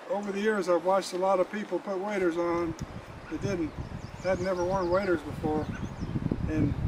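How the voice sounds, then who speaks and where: An older man giving a casual how-to demonstration as a fishing guide, folksy and halting, often repeating words, recorded outdoors from a few metres away. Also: sits high. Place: outdoors at a roadside in the country, by a car. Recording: clear outdoor background noise.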